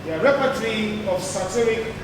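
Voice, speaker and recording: A man presenting on stage in a theatrical, declamatory scripted style, with deliberate phrasing and dramatic pauses. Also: high voice, animated. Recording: echoey hall from far off, noisy.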